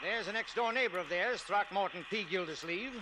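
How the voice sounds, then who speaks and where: A man playing a chatty, helpful American train conductor in a 1940s radio comedy. The voice sits fairly high, on an old recording with clear noise behind it. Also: fairly quick, lightly acted. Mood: helpful, wry.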